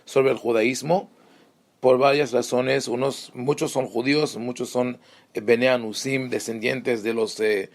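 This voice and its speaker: A man's voice: mid-range voice, steady tone, a little slow. A man from Israel with an Israeli accent, a rabbi teaching an online lesson with many fillers and restarts. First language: Hebrew.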